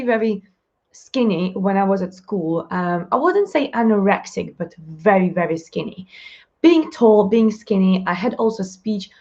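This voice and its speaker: A woman with a Russian accent hosting a live stream Q&A, chatty, upbeat and fast-talking, laughing often. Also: medium pitch, highs cut off. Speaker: live show host, mindset coach.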